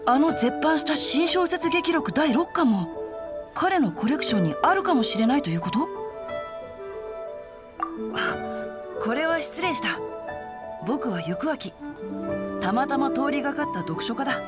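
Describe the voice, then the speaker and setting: A woman's voice: sits high, lively intonation, unhurried, pauses often. A woman playing a polite, bookish teenage boy in an anime video game, speaking in a courteous, formal way. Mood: intrigued. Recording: background game music.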